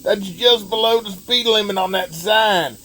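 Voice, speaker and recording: A man voicing a laid-back young male hot rod car in a storybook, casual and even. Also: sits high, unhurried, rough recording.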